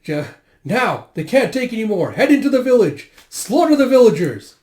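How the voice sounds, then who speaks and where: A man's voice: fairly high pitch, expressive, unhurried, mellow, reverberant. Ruthless soldier villain for a comic story, acted by a man: commanding, contemptuous, barking delivery. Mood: barking orders. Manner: barking, sneering.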